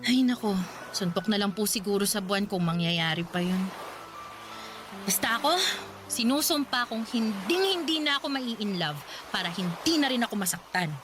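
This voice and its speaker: A woman's voice: medium pitch, expressive, normal speed, music in the background, lightly acted. A woman with a Filipino accent playing a stubborn, exasperated, heartbroken young woman in a Tagalog radio drama. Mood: resigned, exasperated. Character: heartbroken, stubborn, sassy grown daughter in late twenties.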